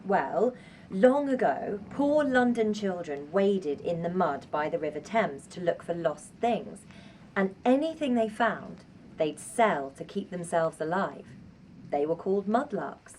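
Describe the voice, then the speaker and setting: A woman acting in a radio play as a kindly, brisk primary school music teacher who explains things in a teacherly way. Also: on the low side, sing-song, a little mellow, distant mic, slight background noise. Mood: kindly, explanatory. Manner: clear explanatory teacherly delivery.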